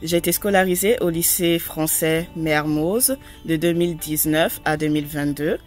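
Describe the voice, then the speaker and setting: A young adult woman, a former student, talking in a formal, measured way. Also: sits low, soft background music. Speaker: former student giving a testimonial.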